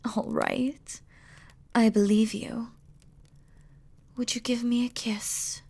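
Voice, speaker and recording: A woman acts out a demon queen in love, with a fairly high voice and a very unhurried delivery. She is tender and devoted, with regal, formal wording. Her speech is soft and breathy, often sinking to a whisper, as in an intimate romantic audio drama. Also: flat delivery, full character voice. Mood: tender, relieved. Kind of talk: scripted romantic audio roleplay, spoken intimately to the listener.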